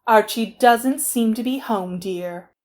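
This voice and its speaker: A woman's voice: fairly high pitch, slow pace. A woman playing a scatterbrained, fretful but kindly middle-aged mother in a 1940s radio comedy style. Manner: fussy, exclaiming, calls everyone dear.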